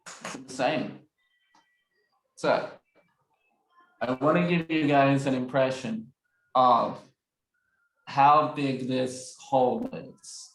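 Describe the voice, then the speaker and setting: A man presenting slides as a guest speaker on a video call, repeating phrases like a patient teacher. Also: medium pitch. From Australia. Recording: roomy video call audio.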